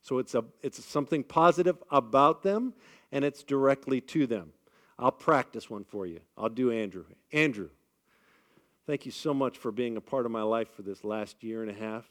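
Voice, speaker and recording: A man from the US Midwest with a big booming voice, leading an audience through an icebreaker at a live event and checking in with "all right?" as he goes. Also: normal speed. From Midwestern United States. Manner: energetic, upbeat crowd-rallying with frequent "all right?" checks. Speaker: event speaker leading the group. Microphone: amplified on a microphone.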